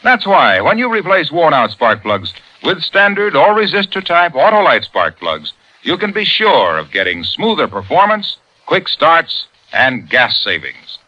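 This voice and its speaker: An American man announces a vintage radio advert in a fairly high, very animated voice. His scripted pitch is heard through slightly dull old broadcast sound. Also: a little slow, hardly any pauses. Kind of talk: 1950s radio sales pitch.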